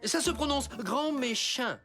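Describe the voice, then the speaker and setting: A woman's voice: sits high, lively intonation, a little slow. A woman voicing a scheming male villain posing as a substitute teacher in a cartoon, sly and prone to stammering when caught out. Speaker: dub actor in a children's cartoon. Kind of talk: animated cartoon dub acting. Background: background music.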